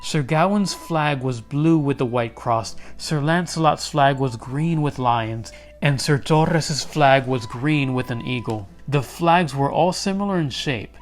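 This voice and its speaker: A man reading a children's storybook aloud as an expressive narrator. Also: mid-range voice, unhurried, few pauses.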